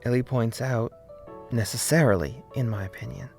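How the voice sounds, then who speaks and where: Slightly creaky man narrating a video essay. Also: mid-range voice, normal speed, a little mellow. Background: faint background music.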